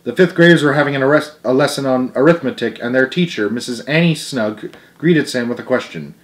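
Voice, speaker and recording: A man's voice: medium pitch, brisk. Young man reading a storybook aloud. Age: young adult. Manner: stumbles over words and corrects himself. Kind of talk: amateur read-aloud narration. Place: living room at home, indoors.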